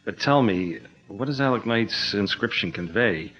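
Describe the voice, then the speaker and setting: Polite, low-voiced engineer, eager but uneasy, old-time radio drama, muffled audio. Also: male voice, expressive, moderate pace, fairly bright tone. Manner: polite, eager, slightly nervous. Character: genteel, nervous male engineer and amateur archaeologist. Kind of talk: 1940s radio acting. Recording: dull lo-fi old-radio sound.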